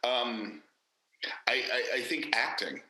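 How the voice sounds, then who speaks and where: A man's voice: mid-range voice, slow pace, pushed and bright, roomy sound, poor audio. A man, an actor and director, speaking candidly and emphatically in a casual interview, with many fillers and restarts.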